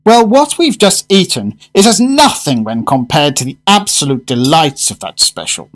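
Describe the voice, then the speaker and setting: An actor playing a fussy, fervent gourmet in a radio drama, speaking in grandiose, emphatic phrases. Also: male voice, on the high side, very animated, normal speed. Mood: rapturous. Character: fussy, fervent gourmet diner, a well-spoken man.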